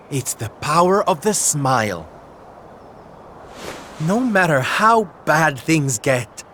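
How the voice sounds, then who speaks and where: A man's voice: on the high side, fairly bright tone, slight background noise, heavily acted. An actor playing a flamboyant, theatrical male entertainer in a video game cutscene, speaking in a showy, grand, sing-song way. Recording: game music behind.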